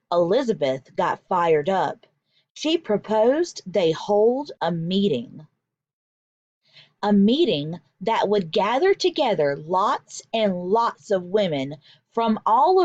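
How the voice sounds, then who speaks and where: A woman teacher reading a picture book aloud for young children, with deliberate, emphatic storytelling and instructional delivery, in a slightly dull recording. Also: medium pitch, darkish tone, no echo.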